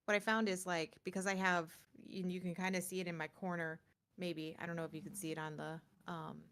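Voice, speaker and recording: A woman with a slightly low voice co-hosts a gaming news show. She is chatty and informal, wandering through her explanations. At times she shifts into reading prepared copy before returning to casual talk. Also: flat delivery, brisk, a bit bright, clear, resonant tone. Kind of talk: casual chat with some scripted reading.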